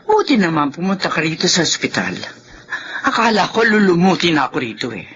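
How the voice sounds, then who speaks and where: A woman's voice: on the low side, very animated, brisk, a bit bright. An actress playing a bitter, accusing Filipino mother-in-law in a radio drama, scolding and sarcastic. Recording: dull, noisy lo-fi drama recording.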